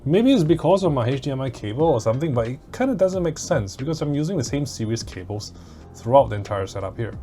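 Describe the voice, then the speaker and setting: A man with a dark, mellow voice talks through gear as a casual reviewer. He is blunt and mildly exasperated, and he swears freely as he goes. His pitch sits in an ordinary middle range for a man. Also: big pitch swings, quick pace, soft background music. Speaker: tech reviewer.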